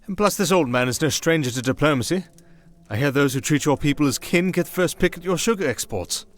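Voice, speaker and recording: A man voicing a kindly, wry old high priest in a fantasy audio roleplay, with fatherly, ceremonious, unhurried phrasing. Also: lively intonation, close to an everyday voice. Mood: solemn, wry. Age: older.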